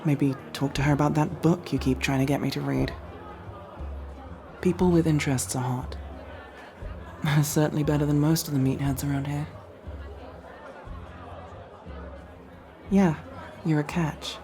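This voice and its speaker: A woman's voice: low voice, fairly even intonation, normal speed, dark tone, a faint crowd, a little hiss or hum. A woman playing a wry, loyal elf rogue in an intimate ASMR fantasy roleplay, with a casual, teasing, dryly supportive way of talking. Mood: teasing, encouraging.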